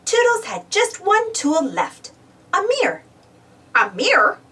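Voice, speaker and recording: A woman reading a children's storybook aloud in an animated narration style. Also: extremely high pitch, unhurried. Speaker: storybook reader. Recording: slightly distant mic, music bed. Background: music.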